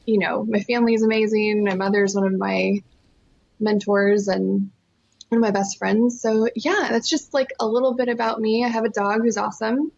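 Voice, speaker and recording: A woman's voice: medium pitch, fairly even intonation, normal speed, vocal fry, a little mellow, muffled recording. A woman, telling her story as an interview guest in an earnest, enthusiastic, emphatic way. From California, born in Costa Rica. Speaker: interview guest, nonprofit fundraiser.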